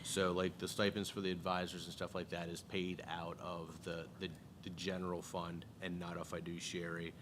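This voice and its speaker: A man's voice: deep voice, little pitch movement, moderate pace, hardly any pauses, background noise. A man on a school board explaining fund rules in a plainspoken way, with some restarts. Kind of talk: meeting discussion.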